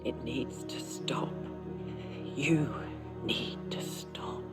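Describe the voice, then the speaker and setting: Cutscene role: fearful, bitter wife, breathy and hushed, unhurried delivery, loud music underneath. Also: female voice, a bass voice, in a whisper, full character voice. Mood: bitter, resigned. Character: weary, embittered, fearful wife and mother. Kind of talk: dramatic game cutscene acting.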